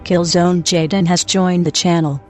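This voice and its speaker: Automated server announcer voiced by a man, high pitch, formulaic notices, music underneath. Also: level tone, moderate pace, a little mellow, lightly acted. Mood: neutral. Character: flat voice-chat server announcer program. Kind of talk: automated notifications in a comic radio play.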